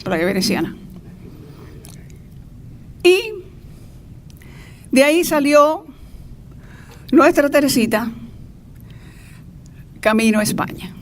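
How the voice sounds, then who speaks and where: An older Cuban woman with a fairly high voice introduces a speaker to an audience. She talks in a chatty, digressive way with playful asides. There is light noise in the live recording. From Cuba. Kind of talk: informal introductory speech to an audience. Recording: noisy live event recording.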